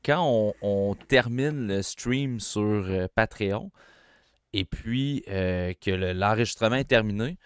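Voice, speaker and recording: A Québécois man co-hosting a hockey podcast in a relaxed, talkative way. His voice is smooth and resonant, of middling pitch, and sits close and dry on the mic. He chats with frequent fillers and restarts. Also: takes it slowly, no room sound. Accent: Québécois French. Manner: chatty, animated interviewer, frequent fillers. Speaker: podcast co-host. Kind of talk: casual hockey podcast chat.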